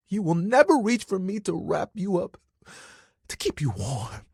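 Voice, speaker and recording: A man's voice: high voice, huge pitch swings, slow, vocal fry, a little mellow, slightly rough, dry recording, studio quality, full character voice. An actor voicing a talking bath towel in a dating video game, a bashful, eager young guy who rambles casually and self-consciously in puns. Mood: dramatic, fretful. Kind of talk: dating game character dialogue.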